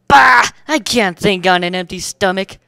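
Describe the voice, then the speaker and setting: A narrator voicing a bold, excitable young pony hero in a theatrical, exclamatory manner. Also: mid-range voice, measured pace, gravelly, no room sound, voice pushed up. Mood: fired up.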